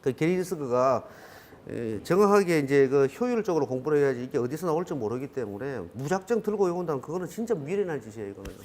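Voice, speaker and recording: A man's voice: fairly high pitch, big pitch swings, quick pace, a little mellow. Rambling middle-aged male lecturer with dialect. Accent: Korean regional dialect. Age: 50s. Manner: rambling, self-correcting, many fillers. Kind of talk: classroom lecture. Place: classroom.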